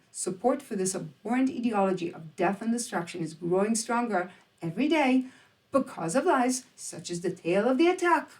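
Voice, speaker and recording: A woman's voice: medium pitch, darkish tone, recorded from a distance, rough recording. A woman delivering scripted opinion commentary in an emphatic, sardonic, rhetorical manner. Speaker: presenter, commentator.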